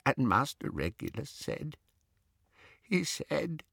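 A man voicing a wretched, groveling old male house-elf who wails shrilly or mutters in a muffled hush. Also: lively intonation, slow pace, no echo, studio quality, exaggerated voice. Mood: hushed lament. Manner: shrill and frantic when wailing, muffled and hushed when recounting. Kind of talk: audiobook character voice.

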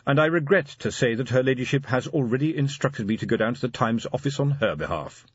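Man acting a formal, unflappable butler, lilting pitch. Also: animated, breathy edge, no room sound, dull audio. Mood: polite, formal. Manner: precise, measured, politely dry. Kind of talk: audiobook character acting.

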